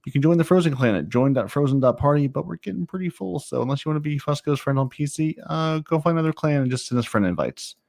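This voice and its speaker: A man's voice: fairly high pitch, lively intonation, quick pace, vocal fry, hardly any pauses, darkish tone, dry recording, clean studio sound. A man hosting a gaming podcast in a casual, wry, joking style. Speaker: podcast host.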